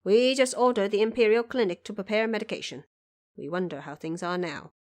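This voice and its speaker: A woman playing a dignified male emperor with a formal, measured manner in an audio drama. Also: mid-range voice, darkish tone, close to an everyday voice. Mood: calm. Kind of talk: audio drama character acting.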